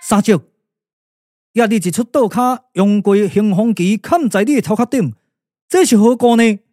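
Man as an excitable, high-voiced young warrior. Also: normal speed. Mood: eager, puzzled. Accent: Taiwanese. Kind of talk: Taiwanese puppet-theatre radio drama acting. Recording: echoey reverb with music behind.

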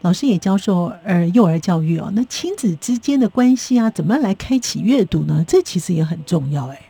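Mellow, husky woman radio host. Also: fairly low pitch, expressive, fairly quick, no echo. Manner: encouraging, reacts with interest, sentence-final particles. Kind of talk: radio interview.

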